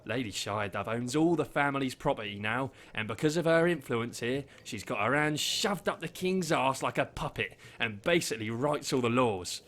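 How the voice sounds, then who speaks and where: A man's voice: medium pitch, lively intonation, moderate pace, hardly any pauses, fairly bright tone, lightly acted. A man playing a chatty, gossiping barkeep who uses crude slang.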